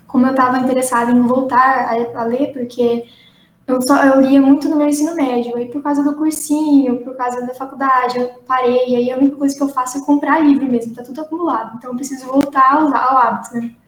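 A young Brazilian-accented woman of twenty introduces herself casually. She speaks high and quite flat in melody, in a roomy space. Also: fairly quick. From Mato Grosso, Brazil. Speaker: book club member, medical student. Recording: echoey room with faint noise.

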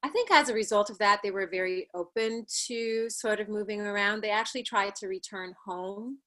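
A thoughtful woman from Ghana in her forties, speaking as an interview guest. She recounts her path in a measured way, with frequent ums and small laughs between thoughts. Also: medium pitch, sharp tone. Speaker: plastic surgeon guest. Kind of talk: interview, life story.

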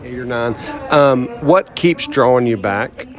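A man's voice: medium pitch, a little slow, faint music behind. A man hosting an interview podcast, asking friendly, conversational questions. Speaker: podcast host.

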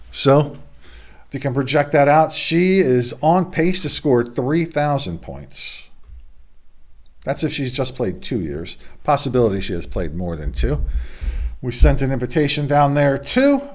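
A middle-aged man with a hoarse voice, hosting a casual sports roundup from a home studio, with rambling, self-deprecating asides. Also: medium pitch. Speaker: sports podcast host.

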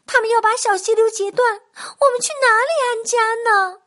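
A woman's voice: very high-pitched, lively intonation, very slow, pitched above the performer's own voice, full character voice. A woman voicing frightened little forest animals, pleading tearfully for help in a storybook.

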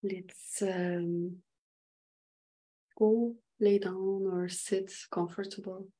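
A woman leading an unscripted guided meditation in short halting phrases, with a breathy voice that sometimes nears a whisper. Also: mid-range voice, fairly even intonation, mellow, low-quality recording.

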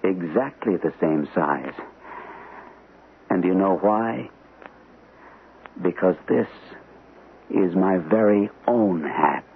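An actor playing an earnest captain confiding a troubling story, speaking deliberately in vintage radio drama style. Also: male voice, deep voice, lively intonation, heavily acted. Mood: intent, revealing. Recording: lo-fi old radio recording, faint hiss.

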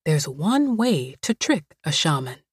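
A woman voicing a calm older woman who comforts a frightened girl in a low, soothing voice. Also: measured pace, slightly rough, no room sound, clean studio sound, heavily acted.

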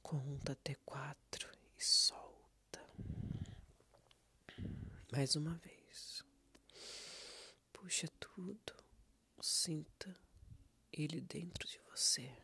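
A Brazilian meditation guide speaks in a very husky, breathy half-whisper with creaky edges. The delivery is slow and soothing, moving gently step by step through guided relaxation with long pauses. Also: medium pitch.

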